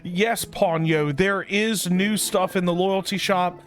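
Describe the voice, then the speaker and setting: A man's voice: high voice, fairly even intonation, slow pace, faint music behind. A man chatting casually on a live game stream, slangy and repeating himself. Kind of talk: casual live-stream chat while gaming.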